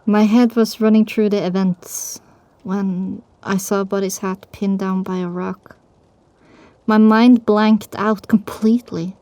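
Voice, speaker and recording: A woman playing a determined young female pony who tells her story with grief, in an emotional, halting, drawn-out delivery for an audio drama. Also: mid-range voice, level tone, dark tone, no room sound. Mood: somber, determined. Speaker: narrator. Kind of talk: first-person dramatic audiobook narration.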